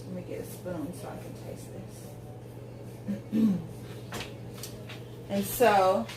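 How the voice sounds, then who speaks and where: A woman's voice: medium pitch, fairly even intonation, takes it slowly, a little mellow, reverberant, distant mic, slight background noise. A woman in her forties chatting casually while she cooks at home, rambling through tangents with lots of ums. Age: 40s. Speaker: home cook vlogger. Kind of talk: casual chat while cooking. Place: home kitchen.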